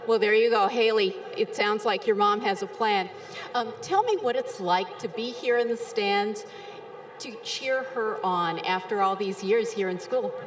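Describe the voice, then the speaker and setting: Female field reporter, brightish voice, echoey stadium with crowd noise, cheerful. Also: medium pitch, a little slow. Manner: bubbly, encouraging. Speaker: roving reporter. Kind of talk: live field interviews. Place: outdoor stadium stands. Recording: roomy with crowd ambience. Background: crowd ambience.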